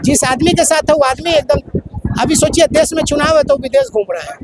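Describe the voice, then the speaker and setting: A man speaks emphatically to a reporter, going back over his own phrases. Clear background noise runs under his words, as in a noisy outdoor news clip. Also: very high voice, steady tone, brisk. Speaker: politician interviewed. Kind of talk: news interview answers.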